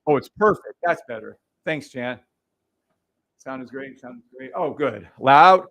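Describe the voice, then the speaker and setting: A man hosting a trading livestream in casual chat, speaking loudly and bluntly with frequent swearing and laughter into a boom mic. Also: lively intonation, a little slow. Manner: loud, blunt and profane, laughs often.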